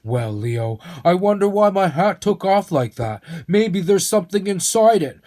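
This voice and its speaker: A man voicing an excitable old bald storyteller for a children's storybook, coaxing and theatrical, with drawn-out exclamations. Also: high-pitched, pitched above the performer's own voice. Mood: tired, friendly.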